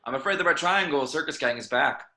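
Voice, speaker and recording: A man's voice: fairly high pitch, expressive, quick pace, pushed and bright. A man playing a flustered, grudging police commissioner in an amateur script read. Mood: grudgingly grateful. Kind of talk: amateur table read acting. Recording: echoey room, home microphone.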